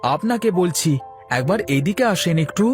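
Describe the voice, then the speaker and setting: Casting: excitable younger village brother for a cartoon, man acting, high lively voice, eager and curious. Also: normal speed, music in the background. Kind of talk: Bengali cartoon dialogue.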